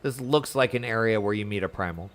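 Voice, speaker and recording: A man's voice: fairly low pitch, a little fast, fairly bright tone. A man chatting casually and animatedly as he streams a video game, rambling from thought to thought. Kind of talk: casual livestream chat over gameplay.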